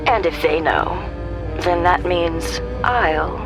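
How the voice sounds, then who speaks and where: An actress playing a taunting, menacing female villain, with drawn-out phrases that sink into breathy near-whispers in an audio drama. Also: lowish voice, music in the background, poor audio. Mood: mocking, sinister.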